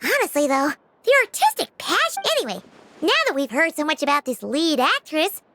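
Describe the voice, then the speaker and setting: A tiny excitable fairy girl with an extremely high, squeaky game-character voice. Her delivery swoops around expressively and has a bright, childlike edge. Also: fairly quick, slight background noise, full character voice. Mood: excited, surprised. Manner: exclamatory, expressive. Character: small excitable childlike fairy companion, female. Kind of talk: animated game character acting.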